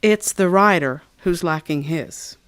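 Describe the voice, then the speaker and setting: A woman's voice: lowish voice, measured pace, a bit bright. A woman playing a chatty river-town musician in scripted radio theatre. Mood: chatty, candid. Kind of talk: scripted radio play dialogue.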